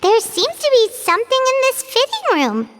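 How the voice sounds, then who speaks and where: An actress voicing a chatty, excitable little floating fairy companion who talks about herself in the third person. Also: female voice, normal speed, background noise, full character voice. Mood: curious. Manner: refers to herself in third person, squeaky and exclaiming. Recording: game audio with music and long reverb.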